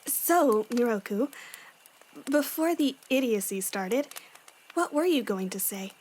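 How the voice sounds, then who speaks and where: A woman playing a gentle but firm young warrior, sincere and a little deadpan, in a fan audio drama. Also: a little high, takes it slowly, slight background noise, rough recording.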